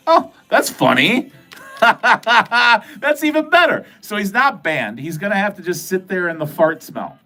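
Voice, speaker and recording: A man's voice: high voice, big pitch swings, a little slow, sharp tone, soft background music. A middle-aged man hosting a casual livestream from home, rambling through viewer comments with filler-heavy, crude, jokey talk. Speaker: livestream host.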